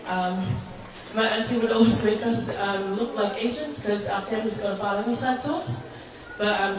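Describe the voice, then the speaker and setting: A very echoey room with clear background noise surrounds a young woman speaking with a New Zealand accent. She gives an informal, unscripted speech of thanks, feeling her way hesitantly from one thought to the next. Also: medium pitch. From born in Samoa, grew up in New Zealand. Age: early 20s. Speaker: birthday celebrant giving thanks. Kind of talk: informal heartfelt party speech. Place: large echoey room at a party. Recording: echoey noisy hall, distant microphone.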